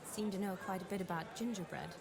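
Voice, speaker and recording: An actress playing a gentle, curious young female healer in a game cutscene. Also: mid-range voice, fast talker, vocal fry, over a noisy crowd, lightly acted. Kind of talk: video game cutscene acting.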